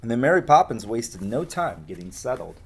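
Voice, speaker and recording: Man reading aloud with very lively pitch. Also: fairly quick, breathy edge, rough recording. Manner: expressive, addresses young listeners directly. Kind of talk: storybook read-aloud with casual asides.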